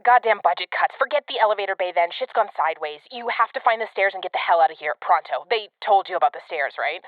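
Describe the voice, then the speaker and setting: Brusque agent over a tinny radio. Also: female voice, mid-range voice, lively intonation, fast talker, no room sound. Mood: irritated, brisk. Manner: clipped, commanding, sardonic. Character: brusque, cynical, foul-mouthed government field agent woman, impatient veteran. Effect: radio communicator filter. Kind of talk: audio drama acting. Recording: band-limited radio link, music underneath.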